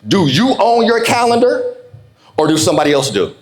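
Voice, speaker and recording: A man, middle-aged, delivering a motivational speech in an informal, folksy way, calling on his listeners and slowing down for emphasis on key phrases. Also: sits high, very animated. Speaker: motivational keynote speaker. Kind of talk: motivational keynote speech to an audience.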